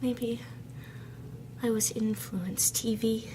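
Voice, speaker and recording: A naive young woman held captive, guilt-ridden and eager to obey. Her voice is highish and slow, whispering hesitantly like a pleading child. There is clear noisy ambience behind this radio drama scene. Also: fairly even intonation. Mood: anxious, remorseful. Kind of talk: audio drama acting, hushed confiding.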